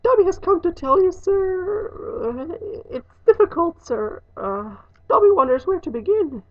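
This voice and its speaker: A woman voicing an earnest, servile male house elf in a storybook reading, excitable and prone to tears, with a shrill voice. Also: unhurried, muffled recording, exaggerated voice. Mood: earnest, hesitant. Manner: speaks of self in third person, deferential.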